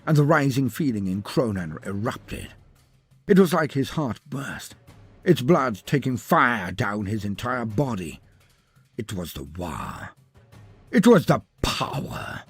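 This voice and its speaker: A man's voice: medium pitch, slightly rough. A man narrating a scripted fantasy tale in a theatrical, florid and wry manner. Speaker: storyteller narrator.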